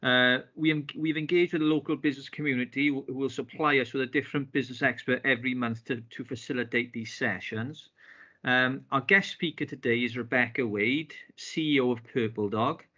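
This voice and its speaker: A man hosting an online business meeting, polite and filling pauses with "um" and "uh" as he restarts phrases. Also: medium pitch, steady tone, pushed and bright. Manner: polite, with frequent fillers and restarts. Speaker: business club host. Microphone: video call. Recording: dull lo-fi video call audio.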